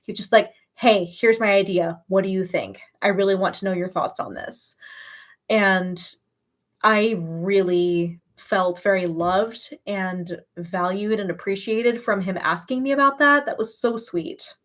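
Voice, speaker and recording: Early 30s woman just chatting on a livestream, mid pitched voice, kinda gushy and emphatic. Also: measured pace. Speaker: livestream host. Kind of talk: casual livestream chat.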